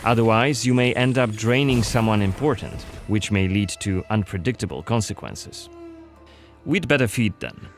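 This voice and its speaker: A man's voice: fairly low pitch, expressive, moderate pace, faint music behind. A man narrating a scripted gameplay showcase as its presenter.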